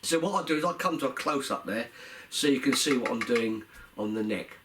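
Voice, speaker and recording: A man's voice: medium pitch, animated, a little fast, background noise. A man, congested from a cold and a little nasal, casually teaching a song, calling out chords and half singing them while he plays. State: stuffy nose from a cold. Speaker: guitar teacher. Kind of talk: casual music lesson. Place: living room at home. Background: cigar box guitar playing.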